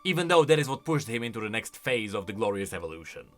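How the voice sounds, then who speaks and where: Animated, bright-voiced man giving game commentary. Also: on the low side, fast talker. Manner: informal, opinionated, chatty. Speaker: video game lore commentator. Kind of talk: casual reaction commentary.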